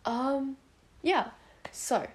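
A woman's voice: high-pitched, extremely slow. A teenage girl with a British accent hosting a casual solo podcast, hesitant and rambling, sounding sick and sniffly. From United Kingdom, born in India. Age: teenager, about 14 to 15. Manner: hesitant, rambling, self-conscious. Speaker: podcast host.

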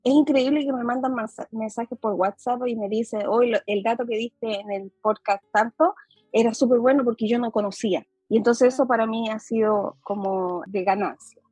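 A woman's voice: fairly high pitch, steady tone, normal speed, mellow, breathy edge, no room sound, faint music behind, rough recording. A woman with a mixed Chilean accent hosting a casual podcast chat over a video call, talking in a chatty way with many fillers. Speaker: podcast host.

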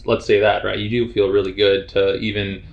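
A man's voice: on the low side, level tone, moderate pace, vocal fry, slight background noise. A male host asking curious, rambling questions in a casual interview. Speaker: podcast host. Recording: roomy, dull lo-fi sound.

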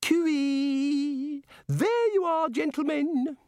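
A man's voice: very high-pitched, very slow, a little mellow, no echo, studio quality, voice pushed up, heavily acted. A man voicing a prim, socially proud woman in an audiobook, with affected, careful gentility. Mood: trilling. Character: prim, genteel female housekeeper, socially proud.

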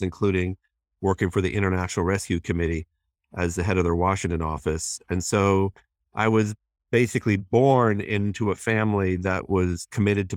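A man's voice: deep voice, no room sound, clean studio sound. A man in his sixties, a guest on a podcast, giving thoughtful conversational answers with frequent fillers and self-corrections. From born in Hong Kong, raised in US. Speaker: guest, president of a human rights group.

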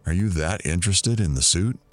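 Very deep-voiced, dry male investigator, played by an actor. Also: expressive, brisk, dry recording, soft background music. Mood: wry, matter-of-fact. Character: dry, sardonic male investigator searching rooms. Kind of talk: audio drama acting.

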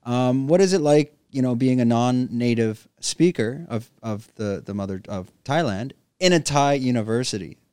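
A man hosting a podcast, asking questions in casual chat with halting restarts. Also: mid-range voice, lively intonation, darkish tone, smooth, no echo, studio quality. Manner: hesitant questioner, many restarts. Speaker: podcast host.

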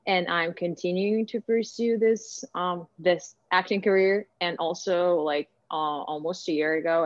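A woman from Ukraine with a Ukrainian accent, answering interview questions casually and thinking aloud as she goes. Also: low-pitched. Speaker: interviewee, actor and director. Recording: echoey room, dull lo-fi sound.

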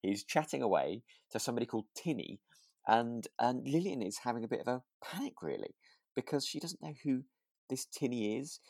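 A man's voice: mid-range voice, animated, fairly quick, gravelly, darkish tone, dry recording, clean studio sound. A man co-hosting a podcast, casually recapping in a hesitant way as he searches for words. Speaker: podcast co-host. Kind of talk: casual chat recapping a radio drama.

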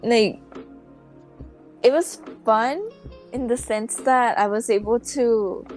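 A woman's voice: a little high, slow pace, darkish tone, soft background music. A teenage girl from Mexico co-hosting a podcast, chatting casually with dry sarcasm. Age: teens. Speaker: podcast co-host. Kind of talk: casual chat.

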